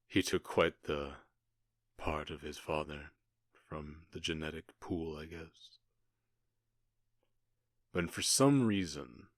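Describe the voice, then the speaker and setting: A man's voice: low voice, no echo, studio quality, exaggerated voice. A man playing a menacing gang boss and gunslinger kidnapper in an ASMR roleplay, smug and taunting as he draws out his words. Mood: cold, threatening. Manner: smug, taunting, drawn-out storytelling.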